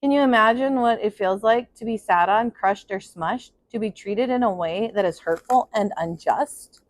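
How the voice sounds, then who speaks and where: A woman reading a children's picture book aloud in an expressive kindergarten teacher's style. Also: medium pitch, normal speed, dry recording. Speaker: kindergarten teacher, storybook reader.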